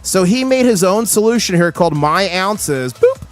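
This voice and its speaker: A man hosting a casual livestream, his voice hoarse, talking with excitable hype-man energy. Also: high-pitched, normal speed, faint music behind. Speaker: livestream host.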